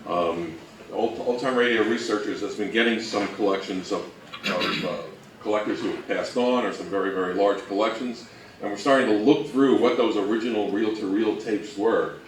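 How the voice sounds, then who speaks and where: A man's voice: fairly low pitch, moderate pace. A man giving an informal, conversational presentation, explaining things and posing rhetorical questions as a co-presenter. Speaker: co-presenter and old-time radio researcher. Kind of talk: informal slide presentation talk. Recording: echoey room, distant mic, faint noise.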